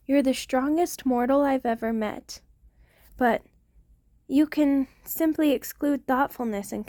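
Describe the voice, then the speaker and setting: A woman playing an ancient, protective vampire queen in an intimate ASMR roleplay, speaking tenderly and softly to her lover in formal wording. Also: sits high, dry recording, clean studio sound. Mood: tender, loving.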